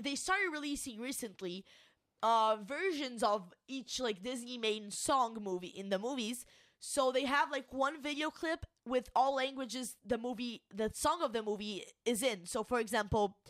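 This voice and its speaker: A woman's voice: a little high, fairly bright tone, no echo. A woman from Brazil whose first language is Portuguese, co-hosting a casual podcast chat in a loose, chatty way with many restarts. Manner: chatty, restarts often with fillers like "like". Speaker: podcast co-host.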